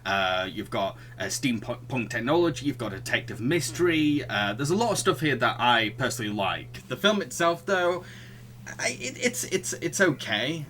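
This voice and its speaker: A man with a very bright, forward voice at middle pitch, co-hosting a casual film podcast. He talks in a halting, amused way, with faint noise behind him. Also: lively intonation. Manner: chuckles through sentences, halting. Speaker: podcast co-host.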